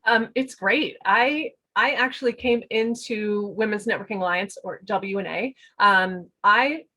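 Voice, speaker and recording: A chatty woman speaking as an interview guest over a video call. Her voice is bright, and she talks easily with plenty of fillers. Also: mid-range voice, unhurried. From United States. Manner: chatty, laughs often, many fillers. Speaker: guest, business owner. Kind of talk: casual interview talk.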